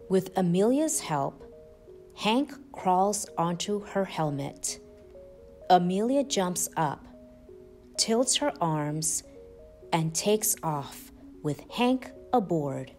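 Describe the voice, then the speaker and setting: Female storyteller, lowish voice, unhurried and lively in pitch, children's read-aloud over soft music. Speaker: storyteller and channel host.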